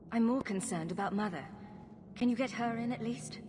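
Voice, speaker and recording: An actress playing a determined young mage in a video game, composed, questioning and drily sceptical. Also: female voice, a little high, brisk, dark tone, natural voice. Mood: concerned, resolute. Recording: noisy ambience behind the voice.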